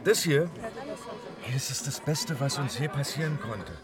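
A man's voice: medium pitch, moderate pace, over loud music, a loud crowd, close to an everyday voice. An actor playing a fervent squat-dweller in a radio drama, emphatic and rhetorical as he rouses his comrades. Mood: fervent, urging.

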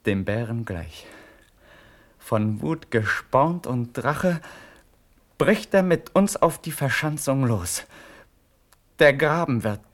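An actor playing a grave, impassioned cavalry officer, declaiming measured verse in a classical German radio drama. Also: male voice, medium pitch, expressive. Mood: solemn, rousing.

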